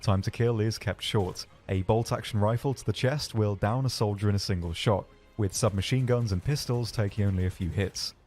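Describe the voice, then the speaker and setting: A man narrating a scripted game showcase as a developer, explaining features in a measured way. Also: lowish voice, darkish tone, a little hiss or hum. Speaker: game developer presenting his team's game. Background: background music.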